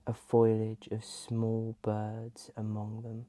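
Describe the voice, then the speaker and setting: A soft, breathy ASMR host reading in a hushed voice that often slips into a whisper. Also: medium pitch, monotone, slow pace, no room sound.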